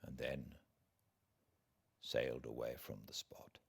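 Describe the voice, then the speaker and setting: A man with a British accent reading classic literature aloud as an audiobook narrator, in a theatrical, deliberate way with dramatic pauses. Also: rumbling low, flat delivery, slightly rough. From Britain.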